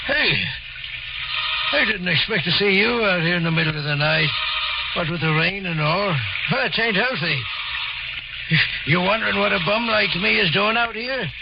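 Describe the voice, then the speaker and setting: An actor playing a sly, menacing bum who drawls and wheedles in a 1940s radio drama. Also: male voice, high voice, normal speed, very noisy. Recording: dull old radio broadcast.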